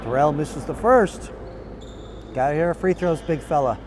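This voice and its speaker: A hoarse man with a raspy voice doing live, partisan color commentary for a basketball game. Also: fairly high pitch, lively intonation, moderate pace, noisy background. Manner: partisan, cheers on one team with "we". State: hoarse, tired voice.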